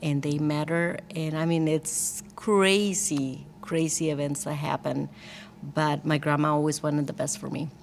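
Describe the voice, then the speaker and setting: A woman's voice: low voice, steady tone, a little slow, gravelly, slight background noise. A woman from Costa Rica with a Costa Rican Spanish accent, telling her personal story in a candid, chatty, lightly joking way as an interview guest. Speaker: guest, church worship team leader.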